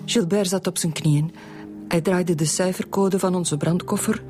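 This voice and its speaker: An actress with a Flemish accent playing a flustered widowed shopkeeper in a radio drama, speaking haltingly and stammering. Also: female voice, fairly low pitch, fairly even intonation, music in the background, lightly acted. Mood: shaken. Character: flustered widowed bakery shopkeeper, recounting a robbery.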